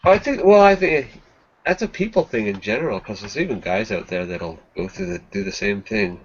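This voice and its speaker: A man chatting casually in an animated, loose and halting way. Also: on the low side, slight background noise. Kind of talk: casual chat. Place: indoors on a group video call. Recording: dull lo-fi internet call audio.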